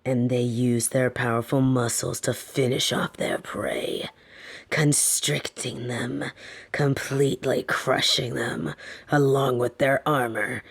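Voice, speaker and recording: A sadistic lamia, played by a woman in a fantasy audio roleplay, holds a captive and speaks as if reading a bedtime story. Her voice is low for a woman and very unhurried. Each phrase is deliberate and teasing, with soft menace. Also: vocal fry, slightly rough, heavily acted. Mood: soothing storytelling. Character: sadistic female lamia, snake monster captor, softly menacing.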